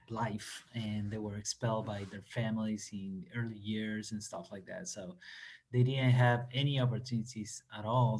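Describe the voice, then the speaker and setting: A man from Argentina, a native Spanish speaker, answering interview questions over a video call, pausing often with fillers and restarts. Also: fairly low pitch, darkish tone. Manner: halting, many fillers and restarts. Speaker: company representative guest.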